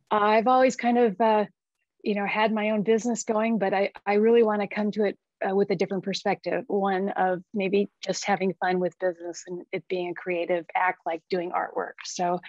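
A woman's voice: medium pitch, no echo. Enthusiastic middle-aged woman on video call. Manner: warm, enthusiastic, hesitant restarts. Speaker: artist, co-host. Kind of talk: casual webinar introduction. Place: home studio on video call. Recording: dry, dull video call audio.

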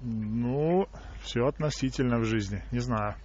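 A man's voice: medium pitch, measured pace, very noisy. Laconic man on a muffled phone call. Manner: laconic, evasive, playfully unhelpful. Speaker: person receiving a sales call. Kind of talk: casual phone chat.

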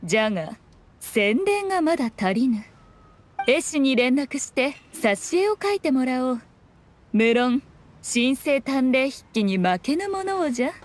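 An actress playing a sly, self-assured fox shrine maiden in a Japanese video game, speaking in a lofty, archaic, composed manner. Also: female voice, sits high, very animated. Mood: sly, scheming. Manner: archaic, lofty, unhurried speech with teasing composure.

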